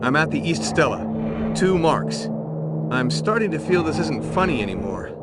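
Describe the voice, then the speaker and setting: An actor playing a wry, terse and determined investigator hero in a video game. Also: male voice, fairly high pitch, big pitch swings, normal speed, heavy background noise.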